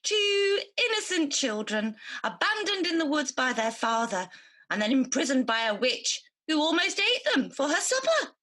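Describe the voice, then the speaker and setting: A woman's voice: high voice, sing-song, normal speed, bright, forceful tone, heavily acted. A woman playing a good, easily indignant champion of virtue in a radio pantomime, theatrical and earnest.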